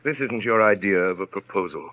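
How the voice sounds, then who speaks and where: An actor playing a brusque, sardonic well-to-do gentleman in a 1930s radio drama, with diction and a clipped, imperious, teasing manner. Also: on the low side, lively intonation, a little fast, soft background music, lightly acted. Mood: wry, tender. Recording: dull, lo-fi vintage radio recording.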